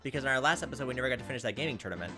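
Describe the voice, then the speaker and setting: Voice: medium pitch, animated, very fast, music in the background. A let's player reading a visual novel aloud and dropping in casual asides as commentary. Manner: reacts with asides to the story. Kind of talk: casual gameplay commentary mixed with narration read aloud.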